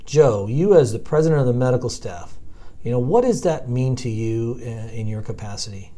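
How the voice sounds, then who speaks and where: Lively-voiced male podcast host. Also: medium pitch, normal speed. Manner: friendly, lively questioning. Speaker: podcast host, medical officer. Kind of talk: interview questions.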